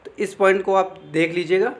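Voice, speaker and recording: A man's voice: high-pitched, fairly even intonation, a little fast. A man giving an informal explainer, reading out official text and commenting, often repeating phrases and adding check-in tags. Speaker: exam information presenter.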